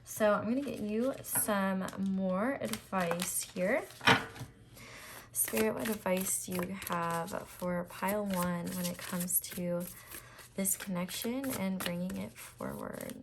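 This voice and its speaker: A woman with a slightly raspy voice doing a casual, reassuring tarot reading to camera, with frequent ums and restarts. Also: mid-range voice, slow, background noise. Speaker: tarot reader.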